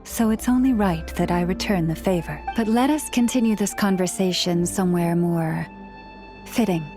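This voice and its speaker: An actress playing a calm, dignified young priestess in a game, speaking in a formal, gracious, measured manner. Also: female voice, lowish voice, faint music behind, natural voice. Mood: solemn, sincere. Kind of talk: game voice acting.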